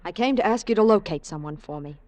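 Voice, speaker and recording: A woman's voice: mid-range voice, lively intonation, fast talker, a little mellow, dry recording, close to an everyday voice. An actress playing a composed, businesslike married woman in old radio style, polite and direct. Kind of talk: 1940s-50s radio acting.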